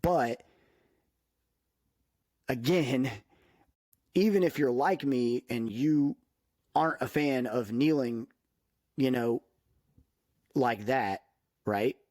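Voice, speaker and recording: A man's voice: slow pace, pauses often, a little mellow, no room sound, studio quality. Opinionated man in casual podcast chat. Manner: opinionated, emphatic, jumps in pitch when worked up. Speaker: podcast co-host.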